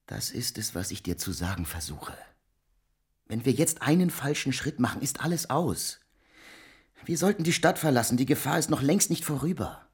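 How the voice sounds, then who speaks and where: A man's voice: mid-range voice, animated, moderate pace, darkish tone, slightly rough. A man playing a wary, worldly-wise young friend who reasons urgently, in a German radio drama. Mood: cautioning. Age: young adult.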